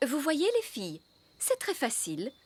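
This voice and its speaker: A woman voicing a strict fairy nanny in a children's cartoon, brisk and commanding as she teaches. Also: high-pitched, wildly swooping pitch. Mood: instructive. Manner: didactic, commanding. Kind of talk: children's cartoon dub acting.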